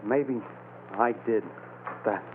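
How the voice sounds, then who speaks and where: An actor playing a jumpy, guilty small-time gangster in an old radio drama, with slangy tough talk. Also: male voice, medium pitch, slow, natural voice. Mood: panicky, defensive. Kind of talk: 1940s radio drama acting. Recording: noisy, narrow-band old radio broadcast.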